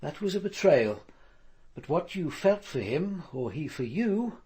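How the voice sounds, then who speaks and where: A stern police commander in a radio play. His voice is husky and a little high, swinging widely in pitch as he probes and accuses. The sound is dry and close. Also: sing-song, unhurried, warm tone, no room sound, full character voice. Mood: stern, accusing. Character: stern male police commander, probing and reflective. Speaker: actor playing the lead detective and narrator. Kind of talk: radio drama acting and narration.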